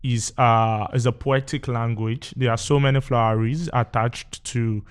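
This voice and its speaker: A Nigerian man who grew up speaking Yoruba explains his background in a studio interview. His voice is smooth and resonant, pitched in the middle range. He talks in a measured, reflective way, pausing and reaching for words as he goes. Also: steady tone. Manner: measured, thoughtful, with frequent pauses and fillers. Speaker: poet guest. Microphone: studio microphone.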